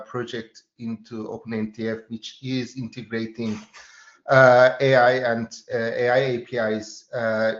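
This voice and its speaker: A man's voice: mid-range voice, a bit bright. Turkish-accented man on a roomy call. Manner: hesitant, many filler pauses and restarts. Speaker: freelance developer and consultant. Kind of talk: webinar panel introduction. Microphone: video call. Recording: roomy, dull lo-fi call audio.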